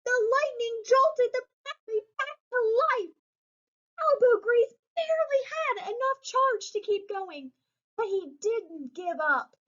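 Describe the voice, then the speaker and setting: A woman with a very high voice reads a children's story aloud. She takes her time and puts expressive, dramatic weight on the narration, and the recording sounds a bit muffled. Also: measured pace. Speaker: story reader. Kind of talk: children's picture book read-aloud.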